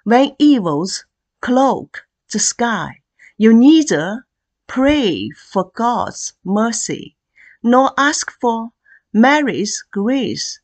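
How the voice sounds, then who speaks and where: A woman poet reading verse deliberately, pausing between short phrases. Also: fairly high pitch, big pitch swings. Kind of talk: poetry reading.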